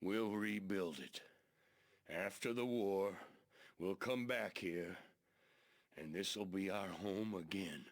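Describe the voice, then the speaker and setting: An actor playing a brooding, hot-headed outlaw brother of the Old West, with a menacing drawl. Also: male voice, deep voice, takes it slowly, long pauses, dry recording, studio quality. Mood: grieving resolve. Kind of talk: cinematic Western game acting.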